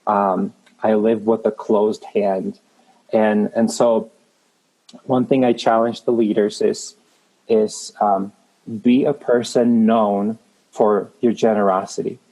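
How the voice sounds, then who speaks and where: A man's voice: on the low side, little pitch movement, takes it slowly, mellow, poor audio. A man in his thirties from the Czech Republic with a Czech accent, a guest in an interview speaking in an earnest, encouraging way with frequent restarts. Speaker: guest, creative manager. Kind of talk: motivational interview talk.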